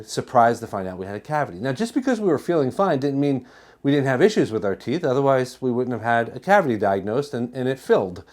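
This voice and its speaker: An American man with a mid-pitched voice gives an educational talk to an audience. He speaks emphatically, leaning on rhetorical questions and repeating key phrases to drive each point home. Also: darkish tone. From New Jersey, United States. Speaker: chiropractor giving a health talk.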